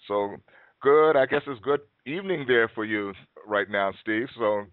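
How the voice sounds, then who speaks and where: A man hosting an interview, introducing his guest and explaining things for listeners in a friendly, deliberate way. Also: medium pitch, dry recording. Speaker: host. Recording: dry, tinny phone-like sound.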